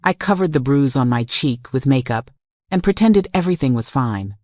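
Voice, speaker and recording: A woman with a low voice delivers scripted, dramatic first-person narration. Her manner is confessional, leaning on short emphatic phrases. The recording is dry and close. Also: animated, moderate pace, a little mellow, dry recording. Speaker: story narrator.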